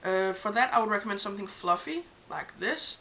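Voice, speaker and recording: A woman's voice: mid-range voice, expressive, a little fast. Danish-accented young woman, chatty makeup vlog. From Denmark. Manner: chatty, hesitant, many ums while showing products. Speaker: makeup vlogger. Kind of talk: casual beauty tutorial. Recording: dull lo-fi home recording with faint hiss.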